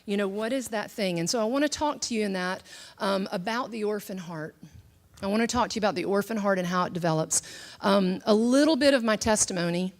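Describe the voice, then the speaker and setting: A middle-aged woman speaks at a church teaching session, talking through brain science and her own story. Her delivery is conversational and loose, full of fillers and restarts, and she acts out the thoughts she quotes. Her voice sits at a middle pitch for a woman. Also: fairly quick. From southern United States. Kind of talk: teaching talk mixed with personal testimony.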